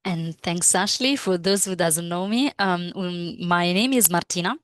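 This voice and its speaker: A woman whose first language is Italian presents a live software training webinar, pausing often with fillers and restarting her phrases. Her voice sits low. The sound is dry and close. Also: dry recording. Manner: hesitant, many fillers and restarts.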